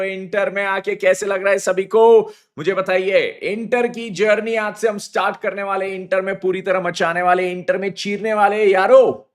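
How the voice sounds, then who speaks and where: हिंदी-अंग्रेज़ी मिलाकर बोलता गला बैठा पुरुष शिक्षक. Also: male voice, high voice, fairly bright tone, clean tone. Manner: hypes students with slang, mixes English into Hindi. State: hoarse, sore throat. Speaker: accounting teacher. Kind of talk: energetic live online class. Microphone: phone on mobile data, live stream.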